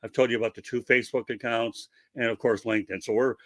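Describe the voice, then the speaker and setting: A man's voice: mid-range voice, steady tone, quick pace, dry recording, clean studio sound. A man hosting a casual interview, talking at length in a chatty, rambling, promotional way over a video call. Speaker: interview host.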